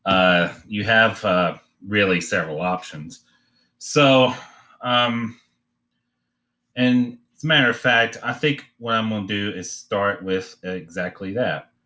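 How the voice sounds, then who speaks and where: Slow, low-voiced man teaching drawing. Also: steady tone, sharp tone. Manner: halting, unscripted, thinking aloud. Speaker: art teacher demonstrating a drawing assignment. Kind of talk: informal step-by-step drawing demonstration.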